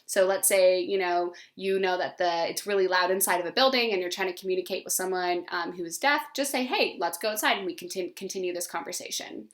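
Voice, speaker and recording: A woman's voice: fairly low pitch, a little fast, hardly any pauses. A woman from the East Coast of Canada, a teacher talking through an educational presentation in an earnest, informal and enthusiastic way.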